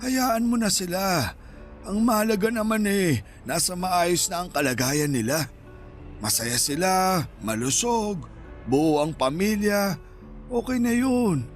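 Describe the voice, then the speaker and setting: An old father and humble vendor in a radio drama. His voice sits a little high, with music behind it. He speaks patiently and plainly, forgiving and accepting by temper. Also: animated, takes it slowly, pauses often. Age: older. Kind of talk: Tagalog radio drama acting. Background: background music.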